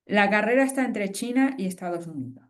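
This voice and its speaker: A woman, a guest expert speaking in a conversational webinar interview, animated and explanatory with frequent fillers and restarts. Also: mid-range voice, brisk. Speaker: guest expert on fusion energy.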